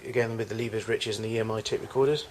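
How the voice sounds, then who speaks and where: A man's voice: lowish voice, fairly even intonation, racing, darkish tone, a little hiss or hum. A man giving an unscripted tour of his collection in a home video, recorded in a garage on amateur camcorder audio.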